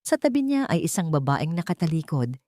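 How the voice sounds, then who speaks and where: A woman's voice: low voice, very animated, fairly quick, warm tone, no echo, clean studio sound. A woman reading a scripted dramatic story in the first person, as an audiobook narrator.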